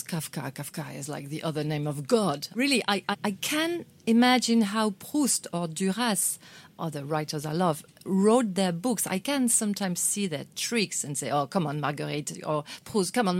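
A woman's voice: fairly low pitch, expressive, moderate pace. A woman, an author from France, answering interview questions at a bookstore event in a thoughtful way, often repeating words as she searches for them. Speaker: author guest at a book club.

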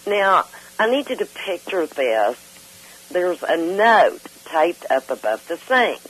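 Giggly older woman with a lively, slow voice. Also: lowish voice, husky, poor audio. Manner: giggly, laughs often. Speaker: caller. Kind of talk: call-in radio chat.

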